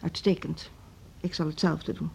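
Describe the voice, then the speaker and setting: An actress playing a firm school director in a naturalistic radio drama, speaking in a cool, measured, formal manner. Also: female voice, low voice, level tone, creaky, a little mellow, husky, exaggerated voice. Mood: cutting, controlled. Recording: dull, muffled radio play recording.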